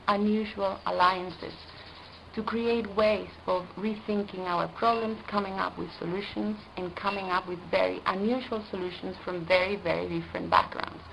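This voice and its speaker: Gravelly-voiced woman in an earnest statement. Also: mid-range voice, background noise. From Costa Rica. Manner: earnest, deliberate, stresses key words. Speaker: environmental specialist. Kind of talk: prepared video statement.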